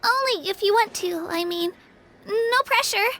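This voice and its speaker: A woman's voice: very high-pitched, a little slow, bright, forceful tone, exaggerated voice. A woman voicing an earnest, polite young warrior girl in a video game, eager and a little hesitant. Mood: curious, shy. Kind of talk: English video game voice acting. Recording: clean voice over game music.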